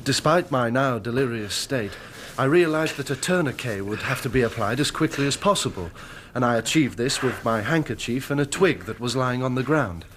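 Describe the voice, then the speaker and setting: A shaken, earnest young engineer in a radio drama, played by an actor. His male voice sits at an average pitch and recounts his ordeal formally and carefully, with slight noise behind it. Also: lively intonation, a bit bright, natural voice. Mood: tense recollection. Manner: formal, measured storytelling.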